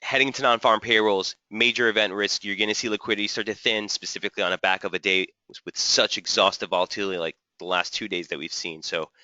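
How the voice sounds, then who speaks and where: A man hosting a live trading webinar, slightly hoarse, walking through charts and answering viewers' typed questions. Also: lowish voice, fairly even intonation, quick pace, few pauses, sharp tone, dry recording, dull audio. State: slightly hoarse, losing his voice. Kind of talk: live market analysis webinar.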